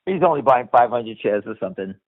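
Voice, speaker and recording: A man's voice: medium pitch, expressive, fast talker. A middle-aged or older man hosting a stock market call with unscripted, opinionated commentary, speaking bluntly and emphatically, with swearing and repeated phrases. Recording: muffled lo-fi radio-like call audio.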